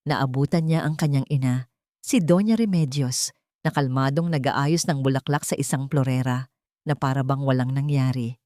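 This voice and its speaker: A woman narrating a dramatic scripted love story in a steady storytelling manner. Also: rumbling low, moderate pace, no room sound, studio quality.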